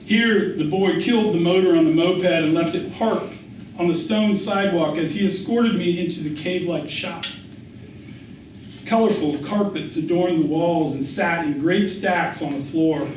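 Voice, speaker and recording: A man reading a literary memoir aloud as its author, with a measured storytelling delivery. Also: a little high, a little mellow. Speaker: author reading his essay. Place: indoor public reading. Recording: echoey room, distant mic, faint noise.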